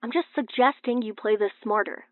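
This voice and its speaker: A woman's voice: on the high side, moderate pace, no room sound, voice pushed up. A woman voicing a stern, exasperated male police detective in an audiobook, blunt and pressing, through a phone filter. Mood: urging. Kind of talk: audiobook character dialogue. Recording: tinny band-limited phone-call effect.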